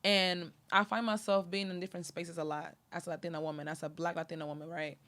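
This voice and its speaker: Animated, lower-pitched woman from Honduras. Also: quick pace. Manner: animated, full of fillers like "like" and "you know". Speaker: podcast host. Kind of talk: casual chat.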